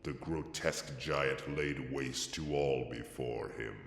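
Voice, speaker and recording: An actor playing a solemn ancient warrior chronicler, narrating grand game lore in a slow, ominous manner. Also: male voice, a bass voice, fairly even intonation, heavily acted. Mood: grave, ominous. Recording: cavernous echo with long reverb.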